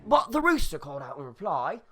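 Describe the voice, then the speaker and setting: Woman reading a folk tale for kids, lively up n down voice, music underneath. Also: low voice, fairly quick. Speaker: storyteller. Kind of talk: narrating a children's folk tale. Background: instrumental music.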